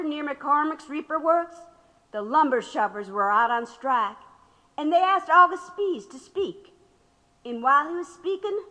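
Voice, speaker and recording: Audio drama: proud, impassioned woman activist under questioning. Bright, forceful voice, long hall reverb. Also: sits high, full character voice. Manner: impassioned, storytelling.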